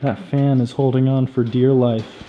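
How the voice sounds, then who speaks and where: A man's voice: mid-range voice, little pitch movement, normal speed, mellow, lo-fi sound, slight background noise. A man hosting an exploration vlog, walking through a decaying abandoned building and remarking in awe at what he finds. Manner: awed, commenting on what he sees. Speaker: urban explorer host.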